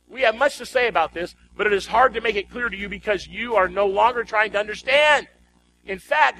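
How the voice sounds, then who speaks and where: Male preacher, high pitch, exhorting sermon delivery, rhetorical questions, noisy recording. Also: a bit bright. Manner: emphatic, exhorting, repeats phrases with rhetorical questions. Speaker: pastor.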